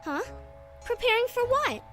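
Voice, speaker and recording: A bubbly young woman from an anime-style game. She is extremely high and squeaky, with lively swings in pitch. She sounds curious, and music tinkles behind her. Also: measured pace, heavily acted. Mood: curious, upbeat. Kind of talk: anime-style visual novel game acting.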